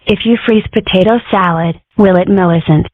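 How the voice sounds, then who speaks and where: A woman voicing a mischievous young fox girl with cheeky, deadpan jokes in an amateur comic dub. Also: medium pitch, moderate pace, dry recording, close to an everyday voice. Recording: dry, slightly lo-fi home recording.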